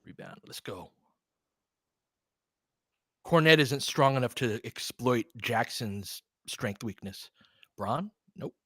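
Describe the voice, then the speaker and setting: A man's voice: medium pitch, expressive, slow pace, long pauses, bright, forceful tone, breathy edge. A man giving casual fan commentary and basketball analysis in an informal, conversational way, often checking for agreement.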